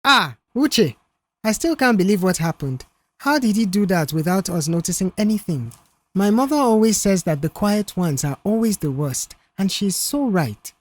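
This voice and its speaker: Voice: mid-range voice, lively intonation, normal speed, dry recording, pitched above the performer's own voice, lightly acted. A narrator voicing a slippery male workman in an audio story, chummy and protesting. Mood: chummy, scandalised.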